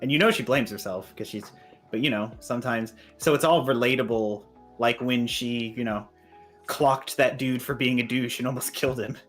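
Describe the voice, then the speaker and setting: A male co-host with a medium-pitched voice chats informally on a fan stream. Soft music sits faintly in the background. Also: moderate pace. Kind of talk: casual chat.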